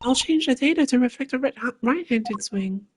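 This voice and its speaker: A man's voice: very high-pitched, normal speed, background noise, voice pushed up. A man putting on a chirpy young woman investigator. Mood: eager. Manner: bouncy, excited exclamations. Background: game music.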